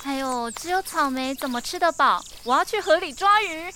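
A woman acting as a bold, curious young female adventurer in an audio drama, lively and impulsive. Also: sits high, moderate pace, slight background noise. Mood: playful, eager. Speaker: voice actor.

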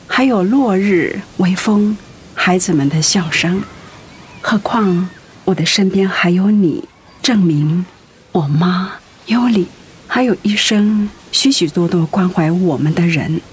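An actress playing a devoted, anxious wife in a radio drama, speaking tenderly and earnestly with feeling. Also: female voice, medium pitch, a little hiss or hum, close to an everyday voice. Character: devoted, anxious wife caring for her sick husband.

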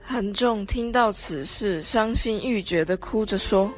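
A woman's voice: mid-range voice, unhurried, faint music behind. A teenage girl narrating a scripted story for a student radio drama. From Taiwan. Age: teens.

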